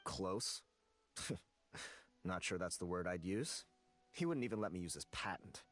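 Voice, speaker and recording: A man's voice: sits low, lively intonation, measured pace, fairly bright tone, exaggerated voice. A man playing a grudging, dismissive researcher in a video game, curt and sardonic. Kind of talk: anime-style video game voice acting.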